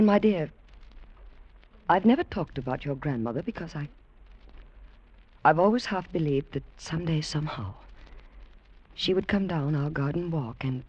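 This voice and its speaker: An actress playing a genteel, gently firm middle-aged British mother in a refined, measured, soft-spoken manner, in 1940s radio drama style. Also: deep voice, breathy edge, exaggerated voice. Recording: muffled vintage radio recording.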